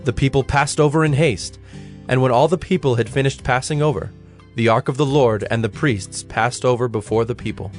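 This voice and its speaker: A man narrating scripture as scripted drama, in a measured, solemn storytelling manner. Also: mid-range voice. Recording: music behind the narration.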